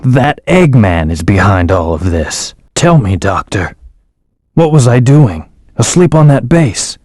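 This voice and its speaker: A brooding, detached young hedgehog antihero for a video game. He speaks in curt, commanding lines at an ordinary middle pitch. The studio sound is very dry. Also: a little mellow, no room sound. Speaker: voice actor. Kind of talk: video game voice acting with gameplay hints.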